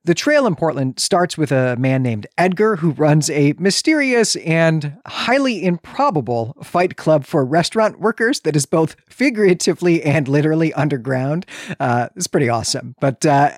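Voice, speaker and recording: A man's voice: a little high, no room sound. Earnest man podcasting, close dry sound. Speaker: podcast co-host. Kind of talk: conversational film discussion and plot synopsis.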